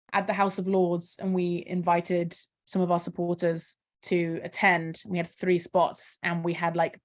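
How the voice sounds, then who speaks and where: A woman, a charity campaigner talking casually on a video call, filling her speech with "um" and "kind of". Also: medium pitch. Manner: chatty, many fillers like "um" and "kind of". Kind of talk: casual video call interview, screen-share walkthrough.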